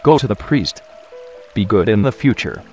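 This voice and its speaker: A man playing a calm, solemn spiritual teacher. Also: fairly low pitch, moderate pace, soft background music. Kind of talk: radio drama acting.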